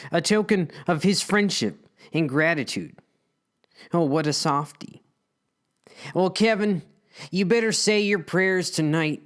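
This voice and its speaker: Guy reading his own story out loud, kinda theatrical and wry, talks to the characters like he's in on it. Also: high voice. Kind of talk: dramatic scripted narration addressing the characters.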